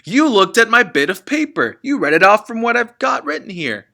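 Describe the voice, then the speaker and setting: Man performing a crooked boastful father, storybook character, smug, blustering, forceful tone, very animated pitch. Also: moderate pace, gravelly, full character voice. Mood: angry, blustering.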